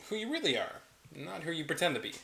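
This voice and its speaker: A man's voice: fairly high pitch, very animated, quick pace, creaky, bright, forceful tone, roomy sound, full character voice. A man playing an earnest, principled human who challenges others, in a drama table read. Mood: earnest, insistent. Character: earnest, principled male human companion of a vampire.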